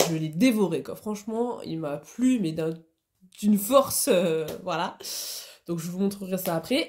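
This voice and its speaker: A woman's voice: mid-range voice, dark tone. A woman with a cold and a blocked nose, chatting casually in a hobby vlog, with many hesitations and restarts. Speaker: hobby vlogger. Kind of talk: casual chat.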